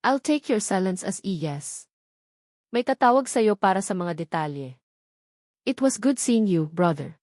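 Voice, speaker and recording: A woman's voice: mid-range voice, animated, a little slow, pauses often, a little mellow, no room sound, clean studio sound, close to an everyday voice. A woman voicing a smug, threatening young male heir in an audiobook drama. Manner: taunting, threatening. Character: menacing, smug young man, rich heir and rival brother. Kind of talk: audiobook character dialogue.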